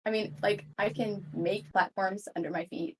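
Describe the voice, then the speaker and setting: A woman's voice: mid-range voice, steady tone, normal speed, low-quality recording. A woman playing a tabletop roleplay game, chatting casually and playfully with hesitant phrasing. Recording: noticeable background noise, clicks.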